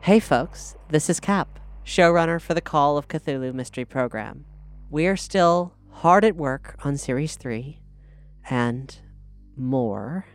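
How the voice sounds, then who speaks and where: A podcast showrunner and host giving a scripted, earnest and measured introduction to listeners. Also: mid-range voice, animated.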